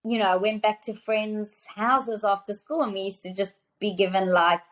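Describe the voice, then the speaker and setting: A woman in her thirties from South Africa talks as a podcast guest about food and health. Her voice sits at an ordinary middle pitch. She is chatty and enthusiastic, with lots of fillers and quick restarts. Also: steady tone. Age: 30s. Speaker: guest, nutrition author. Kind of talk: casual interview conversation.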